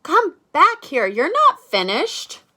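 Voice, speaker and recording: A woman's voice: sits high, huge pitch swings, slow pace, sharp tone, voice pushed up, exaggerated voice. A woman voicing a fussy, proper male picture-book character who is hard to please, formal and bossy. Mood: demanding.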